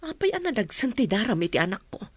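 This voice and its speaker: A woman's voice: fairly high pitch, sing-song, fast, husky, no room sound. A woman acting in a radio drama as a protective mother, reproachful and scolding.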